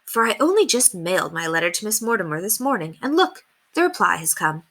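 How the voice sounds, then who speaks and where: A woman's voice: medium pitch, sing-song, fairly quick, fairly bright tone. A woman voicing a prim, earnest and kindly young English governess in a children's storybook, with a proper, effusive way of talking. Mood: delighted. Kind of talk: children's storybook read aloud.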